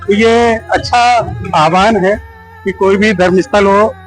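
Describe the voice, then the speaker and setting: A man's voice: sits high, steady tone, a little slow, faint music behind, low-quality recording. A man giving a formal, explanatory interview statement, hesitating as he searches for words. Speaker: doctor interviewed for news. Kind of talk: measured spontaneous interview statement.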